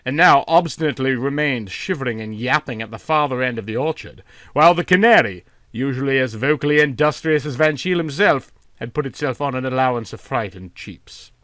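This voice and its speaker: A man narrates a literary short story in an audiobook style. His voice sits at a medium pitch, recorded very dry with no room around it. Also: lively intonation, a little fast, a bit bright, no echo.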